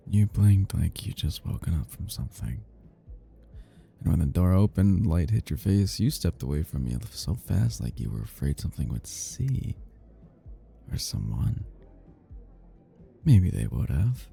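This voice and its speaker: A man playing a cocky, flirty, tipsy male best friend in an intimate romantic ASMR roleplay, teasing in a slow, breathy, gravelly murmur that drifts into whispers. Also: warm tone, exaggerated voice. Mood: intimate, earnest. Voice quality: breathy, husky, gravelly low voice.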